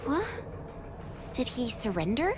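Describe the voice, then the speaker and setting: An actress playing a brisk, spirited young woman fighter in a video game, blunt and informal. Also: a little high, big pitch swings, over music. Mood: inquisitive.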